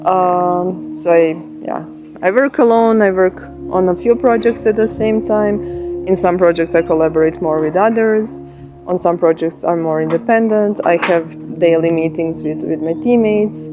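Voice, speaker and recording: A woman in her thirties with a Croatian accent, a software engineer speaking in a documentary interview, thoughtful with pauses and restarts. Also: lowish voice, highs cut off. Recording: music bed under the voice.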